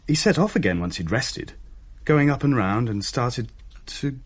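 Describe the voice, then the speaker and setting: A man's voice: medium pitch, expressive, a little slow, husky. An actor playing a young man who tells of things in a hesitant, descriptive way in a naturalistic radio play. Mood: haunted, awed. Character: uneasy younger man recounting a ghost sighting.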